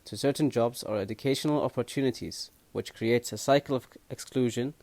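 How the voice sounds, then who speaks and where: A man's voice: medium pitch, brisk, darkish tone, no room sound. Young man from Kuwait reading seminar points. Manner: reads prepared points steadily. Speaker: student presenter. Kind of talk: student seminar presentation.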